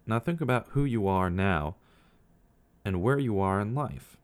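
A man's voice: lowish voice, animated, unhurried, clear, resonant tone. A young man hosting a true-crime podcast, reading scripted narration in a reflective, questioning way.

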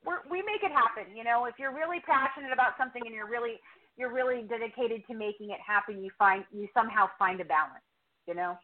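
A woman's voice: a little high, quick pace. Middle-aged woman on a thin phone line. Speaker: podcast host appearing as guest. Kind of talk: casual interview chat. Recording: thin phone-like call audio.

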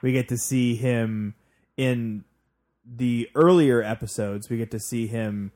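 A man's voice: medium pitch, takes it slowly, darkish tone. A man co-hosting a podcast, talking informally in a casual recap chat. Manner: informal, conversational. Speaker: podcast co-host.

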